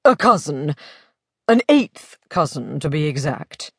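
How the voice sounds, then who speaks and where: An urbane gentleman lord, played by a woman narrator, droll and dryly amused. The voice is lowered and unhurried, with lively turns of pitch, in the manner of a romantic audiobook. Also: vocal fry, husky, dry recording, studio quality, full character voice. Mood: dry wit.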